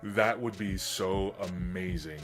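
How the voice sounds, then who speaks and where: A man hosting a livestream in casual, rambling, enthusiastic chat, his voice slightly hoarse from getting over being sick. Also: low voice, slow, faint music behind. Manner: enthusiastic, informal geek talk. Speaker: livestream host.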